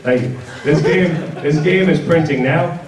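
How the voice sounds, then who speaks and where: A man giving an informal presentation at a convention panel, speaking in a halting, stop-start way and repeating words. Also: fairly high pitch. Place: large indoor convention panel room. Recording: echoey hall, distant mic, audience noise.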